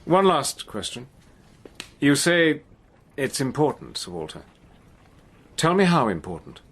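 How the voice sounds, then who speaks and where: An actor playing a British army major who talks in a dry, understated, unhurried way in a radio drama. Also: male voice, medium pitch, very animated, fairly bright tone, full character voice. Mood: wry. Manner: dry, understated, slow-paced. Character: British army major, brave and dryly self-deprecating. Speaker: Hannay, hero and narrator.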